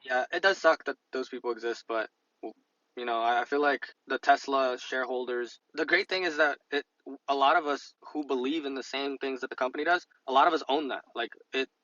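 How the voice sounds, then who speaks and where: Man, bright forceful tone, casual podcast chat, halting repeated phrases, dry close sound, slightly dull recording. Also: mid-range voice, no echo. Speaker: podcast co-host discussing stock investing.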